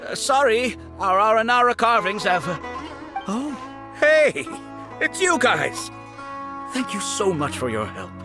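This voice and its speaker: A man voicing a friendly, eager-to-please shopkeeper in a video game, courteous and expressive. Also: high voice, a little slow, full character voice. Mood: grateful, flustered. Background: music.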